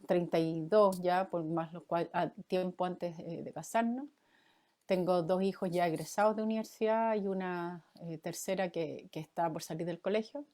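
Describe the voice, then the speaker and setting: A woman in her fifties from Chile, a guest answering interview questions in a thoughtful way, with frequent pauses and fillers. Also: medium pitch, darkish tone. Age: 50s. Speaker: guest, former mayoral candidate and coach.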